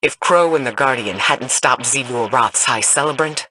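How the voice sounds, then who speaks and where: A woman's voice: extremely low pitch, moderate pace, bright, forceful tone, slightly rough, full character voice, poor audio. A woman playing a cool, formal superior in a sci-fi video game. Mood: measured, cool. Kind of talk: scripted video game voice acting.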